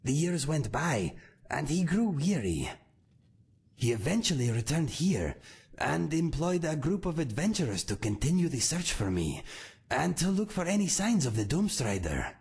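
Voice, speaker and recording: A raspy cat-folk swordsman. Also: a little high, very animated, exaggerated voice. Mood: earnest. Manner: measured, formal and warm-hearted. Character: calm male cat-folk swordsman, earnest and loyal. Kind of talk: game character dialogue.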